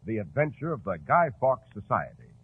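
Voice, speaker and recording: Animated 1940s male radio announcer, tinny sound. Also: mid-range voice, brisk, very noisy. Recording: tinny 1940s radio broadcast, music behind. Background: music.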